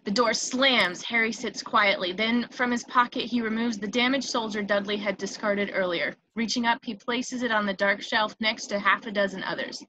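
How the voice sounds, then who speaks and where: A woman's voice: medium pitch, fairly even intonation, normal speed, hardly any pauses, pushed and bright. A woman reading screenplay stage directions as narrator, with small stumbles, on a noisy home recording with clicks.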